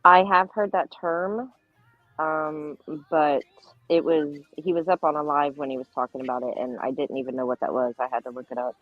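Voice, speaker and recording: A woman's voice: sits low, steady tone, moderate pace, dry recording. A woman speaking as a guest in a casual livestream chat. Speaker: panel guest. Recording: tinny phone-like line, music underneath.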